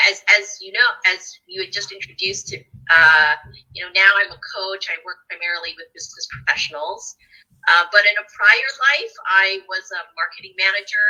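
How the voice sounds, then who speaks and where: A woman's voice: lowish voice, steady tone, normal speed, sharp tone, poor audio. A woman from the west coast of Canada, a leadership coach answering interview questions in an earnest way with frequent restarts.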